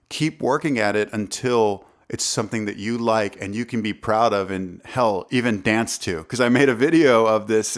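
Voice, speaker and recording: A man with a deep voice talking casually to camera about songwriting, rambling with fillers and restarts, close on a studio condenser mic. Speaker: songwriter vlogger.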